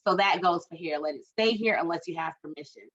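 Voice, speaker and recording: A woman's voice: on the low side, quick pace, fairly bright tone. Woman, workshop facilitator, chatty, encouraging, lo-fi call audio. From Barbados. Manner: chatty and encouraging, reads the chat aloud. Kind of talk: online group workshop hosting. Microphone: video call.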